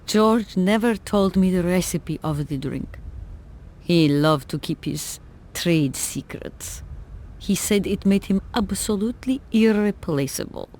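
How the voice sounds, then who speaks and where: Slow, gentle elderly lady. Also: lowish voice, lively intonation. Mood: fond, wistful. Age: older. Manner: slow, fond, genteel. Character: gentle, affectionate elderly lady, warmly grateful. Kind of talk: video game voice acting.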